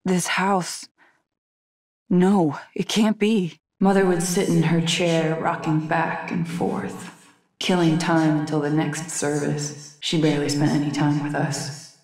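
Low-pitched young woman, guarded survival-horror game agent, serious, terse, determined, dry close sound. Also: fairly even intonation, slow, darkish tone, dry recording, heavily acted. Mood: somber, reflective. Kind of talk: video game voice acting.

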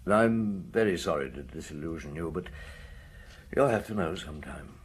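A man playing a measured, probing but sympathetic police detective in a radio drama, deliberate and understated. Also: low voice, animated, breathy edge. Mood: gently blunt.

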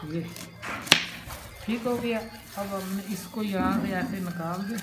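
A woman's voice: medium pitch, measured pace. A woman cooking at home and explaining a recipe step by step, chatty and repeating each step as she goes. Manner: chatty, repeats steps, admiring the food. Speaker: home cook. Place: home kitchen table, indoors. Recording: roomy with loud background noise.

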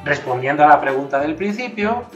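A middle-aged man with a Spain Spanish accent teaches a science experiment, giving clear instructions to children. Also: on the high side, animated, brisk. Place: home kitchen, indoors. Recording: roomy, distant, dull kitchen audio. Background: background music.

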